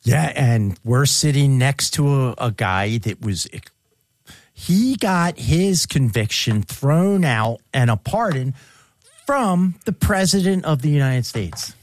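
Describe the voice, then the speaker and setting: A man's voice: medium pitch, animated, takes it slowly, no room sound, studio quality. A man co-hosting a recovery radio talk show, speaking earnestly and reassuringly to listeners. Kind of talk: casual radio chat.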